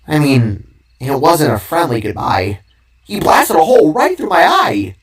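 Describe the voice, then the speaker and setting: A man's voice: fairly high pitch, wildly swooping pitch, measured pace, recorded from a distance, pitched above the performer's own voice, exaggerated voice. A man voicing a tiny talking cube artifact from a story, talkative yet hesitant, weary and sorrowful. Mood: resigned. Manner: talkative, hesitant, fumbling for words. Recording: background music under the voice.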